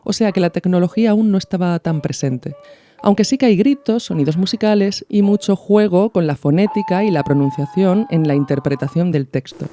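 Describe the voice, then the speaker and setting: Mellow-voiced woman presenting an explanatory podcast. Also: lowish voice, a little hiss or hum. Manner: didactic, measured phrasing. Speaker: podcast host. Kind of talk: scripted explanatory narration.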